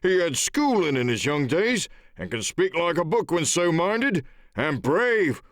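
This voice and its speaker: A man performs a rough old seaman from an adventure audiobook. His pitch is raised and swoops freely as he talks with admiring relish, and the recording is dry and close. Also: sing-song, unhurried, no room sound. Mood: admiring, boastful. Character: rough, admiring old sailor, the ship's coxswain. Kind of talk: audiobook character acting.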